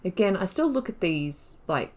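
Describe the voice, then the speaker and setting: A woman's voice: fairly low pitch, sing-song, normal speed. A woman hosting a gaming video, chatting casually through a playthrough and reading lore aloud.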